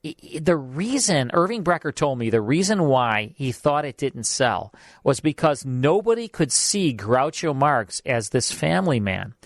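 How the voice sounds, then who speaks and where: A man's voice: mid-range voice, sing-song, unhurried, dry recording, clean studio sound. A man hosting a radio show, chatting casually and rambling with lots of fillers as he introduces an old broadcast.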